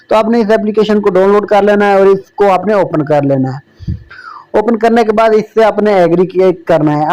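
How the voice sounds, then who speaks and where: A man's voice: high voice, a little mellow, poor audio. A man narrating an informal app tutorial as the host of a video.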